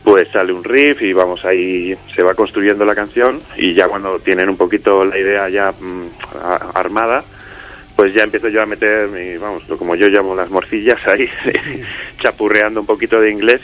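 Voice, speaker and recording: A man's voice: low voice, steady tone, normal speed, a bit bright, highs cut off. A man, a musician and songwriter, talking in a casual radio interview, rambling with many fillers and restarts. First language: Spanish. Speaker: musician guest, singer and songwriter of a band.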